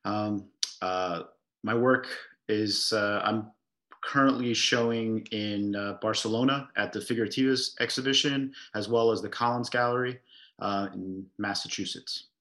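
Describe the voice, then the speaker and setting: A man speaking as an artist on an online panel, relaxed with frequent fillers, over a video call. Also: lowish voice, unhurried. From United States. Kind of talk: casual panel talk.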